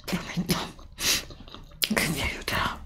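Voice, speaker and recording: A woman playing an earnest, bewildered chosen heroine in an ASMR roleplay, breathy and questioning, close to the microphone. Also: slow pace, bright, forceful tone. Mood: earnest, resolute. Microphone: close desktop USB microphone.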